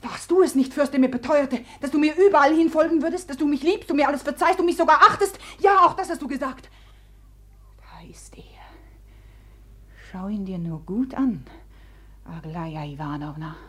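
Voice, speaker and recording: A woman's voice: high voice, normal speed, fairly bright tone. A wild, mocking young woman. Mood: taunting. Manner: theatrical, swinging between taunting and whispering. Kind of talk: 1950s radio drama acting.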